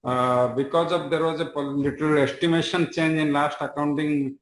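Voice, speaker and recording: A man's voice: on the high side, steady tone, normal speed. Hesitant Indian finance man, echoey room. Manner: hesitant, fragmented delivery. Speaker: company finance executive. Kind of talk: explaining financial results on a conference call. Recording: echoey room with faint noise.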